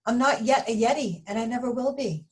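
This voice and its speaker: A woman's voice: on the high side, brisk, voice pushed up, lightly acted. A woman voicing an earnest young boy yeti in a storybook, plaintive and childlike. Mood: earnest, determined. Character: earnest small young boy yeti who longs to belong.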